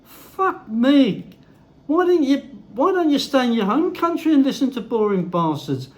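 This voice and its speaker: A man's voice: extremely high pitch, big pitch swings, measured pace. An older man, ranting bluntly to camera in a casual vlog and swearing heavily. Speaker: vlogger. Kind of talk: opinionated casual monologue.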